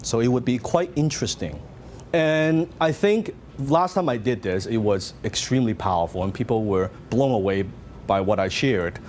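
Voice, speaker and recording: A man's voice: medium pitch, animated, moderate pace, a little mellow, slight background noise. A middle-aged man from Hong Kong with a Hong Kong Cantonese accent, giving a motivational seminar talk and telling his story with lots of questions to the audience. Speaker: business speaker.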